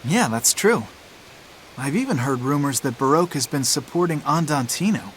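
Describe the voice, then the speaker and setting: A man's voice: medium pitch, animated, moderate pace, slight background noise, close to an everyday voice. A man voicing a sceptical, level-headed teenage boy in a video game, casual and reasoning. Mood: calm, sceptical.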